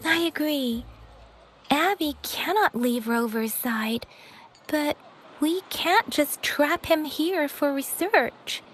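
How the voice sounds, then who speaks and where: High-voiced, earnest young guide. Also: female voice, lively intonation, takes it slowly, a bit bright, soft background music, exaggerated voice. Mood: earnest, helpful. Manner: earnest, polite, explanatory. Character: gentle, earnest, reasonable young woman guide. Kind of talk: video game voice acting.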